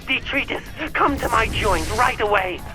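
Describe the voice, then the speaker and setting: An actor playing an excitable robot bar owner in a video game, giving brisk, theatrical instructions. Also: male voice, high-pitched, music in the background. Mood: excited, bossy. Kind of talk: video game cutscene acting.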